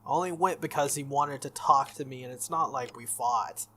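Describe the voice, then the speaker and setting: A man's voice: mid-range voice, moderate pace, sharp tone, slight background noise, close to an everyday voice. A man reading the lines of an earnest, good-natured teenage schoolboy from a visual novel. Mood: earnest, explaining. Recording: music behind the voice.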